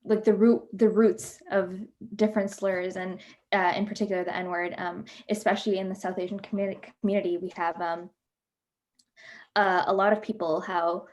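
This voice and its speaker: A woman's voice: medium pitch, flat delivery, normal speed. A young woman from Canada moderating a panel, affirming speakers with lots of ums before moving the event along. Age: young adult. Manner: warm affirming, filler-heavy.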